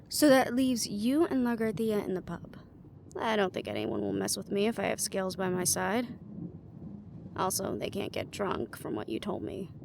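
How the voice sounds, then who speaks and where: Woman acting a lively, teasing young adventurer woman. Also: medium pitch, gravelly, slight background noise. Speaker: amateur voice actor. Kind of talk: scripted audio roleplay, conversational acting. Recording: music behind the voice.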